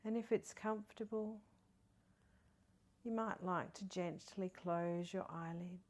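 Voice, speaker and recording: A woman leading a guided meditation in a soft, breathy, partly whispery voice, soothing and gentle with long pauses. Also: on the low side, steady tone. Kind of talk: guided body scan meditation.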